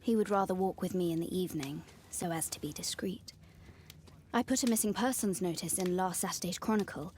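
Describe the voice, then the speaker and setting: A woman's voice: lowish voice, a little fast, a little mellow, a little hiss or hum, lightly acted. An actress playing an earnest young Victorian lady in a video game, with a British accent and polite, formal speech. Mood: earnest, discreet. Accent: British English. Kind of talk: video game dialogue acting.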